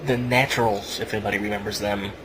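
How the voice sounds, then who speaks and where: A man hosting casually, reading news and explaining it in a halting way. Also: lowish voice, little pitch movement, a bit bright. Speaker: podcast host. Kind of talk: casual wrestling news commentary. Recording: noisy home podcast recording.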